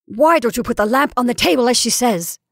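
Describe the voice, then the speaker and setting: A woman's voice: medium pitch, animated, fairly quick, no room sound, natural voice. A stern, commanding sister from a storybook, performed by a woman narrator, speaks sharply and insistently. There is a fierce edge to her, and the sound is dry.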